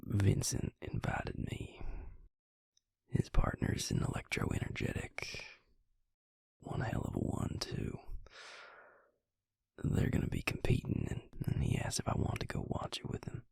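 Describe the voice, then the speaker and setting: A man playing an affectionate, laid-back vampire mate in an intimate roleplay audio, speaking in a breathy, gravelly murmur with tender, hesitant pauses. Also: darkish tone, no echo, in a whisper, clean studio sound, close to an everyday voice. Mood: musing, relaxed.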